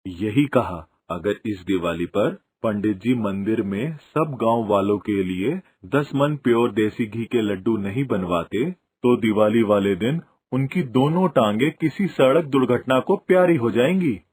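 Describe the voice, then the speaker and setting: An actor playing a wily, grumbling but soft-hearted village father in a cartoon moral story, argumentative and wry. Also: lowish voice, a little slow, few pauses, slightly rough. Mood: sly.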